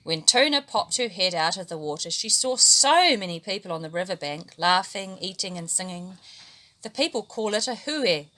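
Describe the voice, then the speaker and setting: Woman reading a kids story out loud, bright voice, gentle storybook telling. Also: mid-range voice, expressive, moderate pace, rough recording. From New Zealand. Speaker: storybook reader. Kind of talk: reading a children's story aloud.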